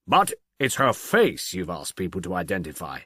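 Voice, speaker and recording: Male narrator doing timid copper, tentative, submissive, eager to help, wandering pitch, dry sound. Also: huge pitch swings, moderate pace, a bit bright, slightly rough, no room sound, heavily acted. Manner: cautious, tentative. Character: hesitant, submissive policeman, eager but easily abashed.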